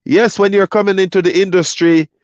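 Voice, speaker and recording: A laid-back man sits in his truck and chats with his stream, slightly higher in pitch than most men. His voice comes through dull and dry, like a lo-fi stream mic. He talks easily and loosely to his viewers. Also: steady tone, fairly quick, a little mellow, no room sound. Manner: laid-back, loose, reads chat comments aloud. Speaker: trucker hosting a live stream. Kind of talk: casual live stream chat.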